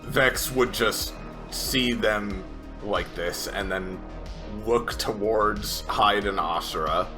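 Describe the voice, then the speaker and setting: Deep, slow-talking man, halting narration. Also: music in the background. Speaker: player. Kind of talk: narrating his character's actions.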